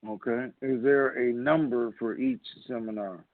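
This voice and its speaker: Gravelly man on a muffled phone line. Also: medium pitch, moderate pace, no echo. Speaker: conference call host. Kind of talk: informal question-and-answer call.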